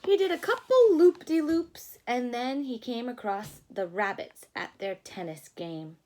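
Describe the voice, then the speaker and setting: A woman doing an expressive read-aloud for young children, pausing to put questions to them in a teacherly way. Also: a little high, low-quality recording. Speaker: storyteller reading a picture book to children. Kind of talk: animated read-aloud with asides to young listeners.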